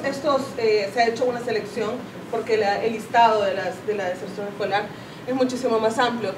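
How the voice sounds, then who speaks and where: A woman in her thirties with a Salvadoran Spanish accent, presenting figures to a seminar audience and often pausing to correct and rephrase herself. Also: on the high side. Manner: explanatory, self-correcting, many fillers. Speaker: conference speaker. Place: conference room with audience. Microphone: far camera, no mic seen. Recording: roomy, distant camera audio with background noise.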